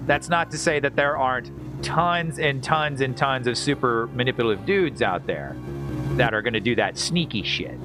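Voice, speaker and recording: A man hosts a video game livestream with prominent music behind him. His voice sits at a middling pitch, and he talks casually in rambling, stammering runs, repeating words and dropping in salty slang. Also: animated, moderate pace. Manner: informal, profane and slangy.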